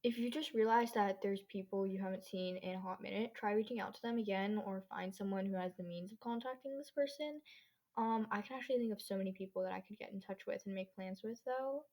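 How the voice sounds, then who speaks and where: Teenage girl, mid-pitched voice, casual podcast host, chatty, slangy, rambling delivery. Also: fast talker, a little mellow. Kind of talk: casual solo podcast chat. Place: own bedroom.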